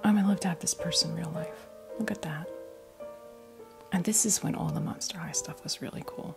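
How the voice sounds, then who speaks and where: A woman chatting in a breathy, creaky whisper for an ASMR unboxing video, rambling hesitantly through little asides as the host. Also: fairly low pitch, brisk. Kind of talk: ASMR, soft rambling chat. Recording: music playing behind the voice. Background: clear background music.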